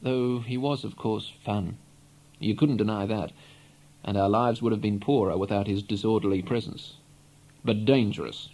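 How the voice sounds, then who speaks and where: A man reading a literary novel aloud in an expressive, measured storytelling manner. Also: on the low side, low-quality recording. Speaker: audiobook reader. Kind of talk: literary narration, read aloud.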